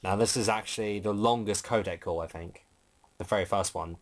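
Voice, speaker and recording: A man's voice: on the low side, brisk. A man giving informal playthrough commentary, explaining the game and joking along. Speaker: gaming commentator.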